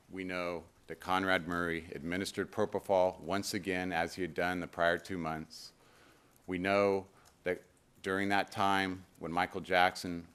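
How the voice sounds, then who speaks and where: A man arguing a case in court as a prosecutor, deliberate and emphatic in his phrasing. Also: low-pitched, fairly bright tone. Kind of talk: legal argument in court. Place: indoor courtroom.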